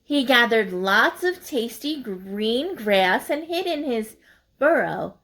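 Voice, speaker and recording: Female children's read-aloud teacher: unhurried, higher-pitched, sing-song, explains as she goes. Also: a bit bright. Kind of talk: picture-book read-aloud.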